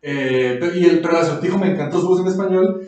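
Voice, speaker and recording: A man's voice: a little high, fast talker, a little mellow, far from the mic. A man with a Mexican accent rambles in slangy, casual podcast chat, in a very echoey room away from the mic. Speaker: podcast host.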